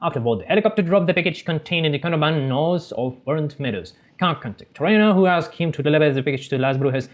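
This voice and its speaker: A man hosting a gaming channel, recapping a game's story in halting non-native English and breaking off for joking asides. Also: a little high, expressive. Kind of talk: game story recap with commentary.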